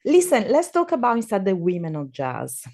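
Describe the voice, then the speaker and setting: A woman's voice: medium pitch, wildly swooping pitch, fairly quick, warm tone. A woman hosting a casual interview, chatty and eager, who trails off and restarts her questions as she asks them. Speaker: podcast host.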